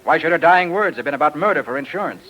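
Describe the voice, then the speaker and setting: Quick, probing radio detective. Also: male voice, on the high side, lively intonation, pushed and bright, rough recording. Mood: analytical. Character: quick, probing male private detective, coolly analytical. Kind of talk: 1940s radio mystery acting. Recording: old-time radio recording.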